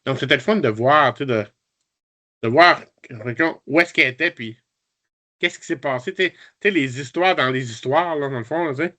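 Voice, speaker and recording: Bright-voiced Quebec man chatting. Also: medium pitch, a little fast. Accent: Quebec French. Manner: informal, colloquial. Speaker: podcast guest reviewer. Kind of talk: casual chat, review.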